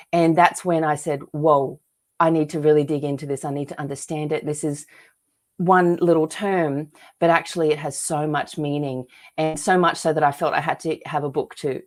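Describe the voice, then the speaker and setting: A woman's voice: sits low, darkish tone. A woman with an Australian accent, speaking earnestly as a guest author in an interview, with frequent restarts and fillers. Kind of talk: interview conversation.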